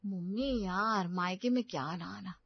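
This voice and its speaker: A woman's voice: mid-range voice, unhurried, gravelly, breathy edge, no echo, lo-fi sound, voice pushed down. A woman voicing a spoiled, lazy young married daughter in a story, bossy and teasing. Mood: lazy entitled.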